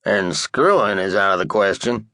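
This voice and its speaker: A man's voice: deep voice, animated, normal speed, pushed and bright, dry recording, clean studio sound, exaggerated voice. A man voicing a foul-mouthed, cantankerous old cowboy with a blustering drawl in an audiobook reading. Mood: grumbling.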